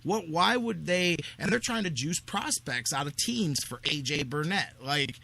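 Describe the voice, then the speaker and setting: A man's voice: on the high side, expressive, unhurried, vocal fry, bright, forceful tone. A man hosting a sports talk show, giving emphatic, opinionated commentary in a casual conversational style. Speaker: sports radio host.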